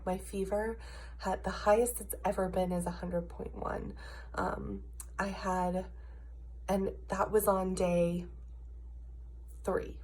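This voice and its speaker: A woman's voice: medium pitch, gravelly, darkish tone, slightly rough, poor audio. An American woman in her early thirties, sick with a cough and sinus trouble, giving a frustrated, emphatic, casual personal rant. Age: early 30s. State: ill, with a cough and congested sinuses. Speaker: video diarist.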